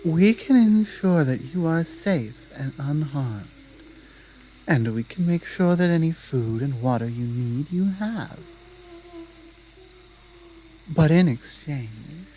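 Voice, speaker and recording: A menacing female werewolf pack leader, played by a woman for a roleplay ASMR drama. Her voice is dark and mellow, and she takes her time with every deliberate, taunting command. A faint sizzle of ambient noise sits behind her. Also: very deep voice, lively intonation, exaggerated voice, poor audio. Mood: stern, bargaining.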